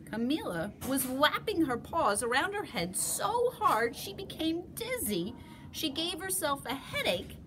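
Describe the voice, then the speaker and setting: Slow, lively woman reading a storybook. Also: sits high, slight background noise. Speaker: teacher. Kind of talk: reading a picture book aloud.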